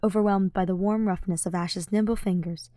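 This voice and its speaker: A woman's voice: medium pitch, fairly even intonation, fairly quick, warm tone, no echo, studio quality. A woman reading fiction aloud as a scripted audiobook narrator. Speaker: podfic narrator.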